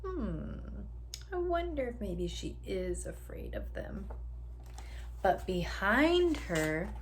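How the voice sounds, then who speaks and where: A woman teacher reading a picture book aloud to young children in an animated way, drawing out sound words and inviting them to join in, with some background noise. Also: medium pitch, slow pace.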